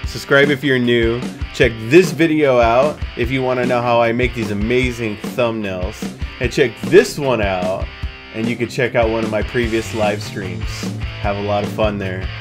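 A man's voice: medium pitch, moderate pace, over music. A man in his thirties giving a casual, playful screen-recorded streaming tutorial in a goofy, chatty way. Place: small home room with gaming setup, indoors.

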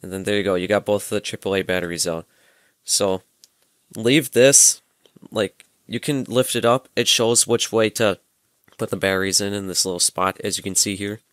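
A man's voice: on the low side, moderate pace, a bit bright, dry recording. A young adult man, giving a casual hands-on demonstration and explaining informally, step by step. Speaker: presenter of a gadget tutorial. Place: small room at home, indoors. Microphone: headset microphone.

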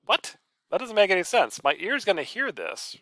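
A man's voice: high voice, very animated, normal speed, pushed and bright, dry recording. A man giving a casual screencast tutorial, talking informally through his steps aloud. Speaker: college professor and author giving a software tutorial.

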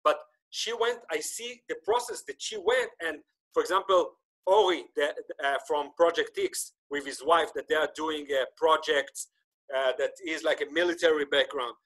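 A man in his thirties, native Hebrew speaker, hosting a casual podcast chat over a webcam. His voice sits a little high for a man, and he talks in an animated way, often stumbling and restarting his sentences. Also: moderate pace, rough recording. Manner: animated, gesturing, many restarts. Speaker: podcast host. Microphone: video call webcam.

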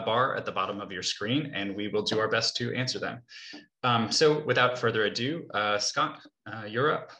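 Guy in his 30s moderating an online panel, lowish bright voice, echoey room. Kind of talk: informal housekeeping remarks to an audience. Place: small home room, indoors. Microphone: video call computer microphone. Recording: roomy echo on video call.

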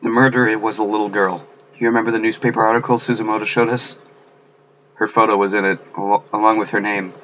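Guy doing a shaken serious schoolgirl from a horror game, grave and hushed, slightly noisy audio. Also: fairly low pitch, level tone, quick pace, dull audio, natural voice.

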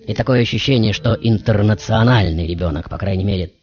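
A man playing a passionate, self-assured tango musician who tells his life story in dramatic, deliberate phrases. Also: fairly low pitch, a little mellow, natural voice. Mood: grand, musing. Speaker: radio show host. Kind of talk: theatrical first-person storytelling as a famous musician. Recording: dull radio recording with music.

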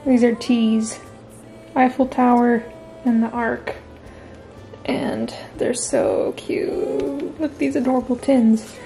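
A woman's voice: on the high side, expressive, slow pace, warm tone, rough recording. A woman chatting casually in an upbeat way as she narrates a home vlog. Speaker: vlogger, host. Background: music.

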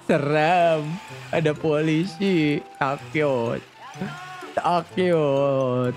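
A gaming streamer chattering playfully and excitably in a live roleplay game, often repeating phrases. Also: medium pitch, extremely slow. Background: music.

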